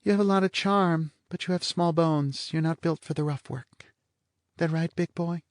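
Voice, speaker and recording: A man's voice: a little high, moderate pace, no room sound, studio quality, pitched above the performer's own voice, close to an everyday voice. A man voicing a languid, teasing blonde woman with a lazy, sardonic drawl. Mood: mocking.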